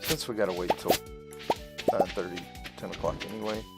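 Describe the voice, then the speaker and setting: A woman with a very deep voice full of fry narrates her own gameplay. She talks casually and without hurry, thinking aloud as she goes, while game music plays prominently behind her. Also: fairly even intonation, fairly quick. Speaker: gaming channel host. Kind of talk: casual let's-play commentary. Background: game music and sound effects.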